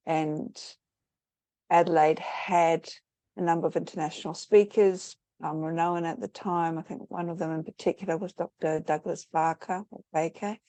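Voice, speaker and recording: A middle-aged woman speaks as an online interview guest. Her voice sits low and mellow, and the sound is dry and close. She wanders thoughtfully through her answers, full of fillers and false starts. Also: fairly even intonation, moderate pace, no echo. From New Zealand. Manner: rambling, thoughtful, many "sort of" fillers and restarts. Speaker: interview guest, spiritual teacher.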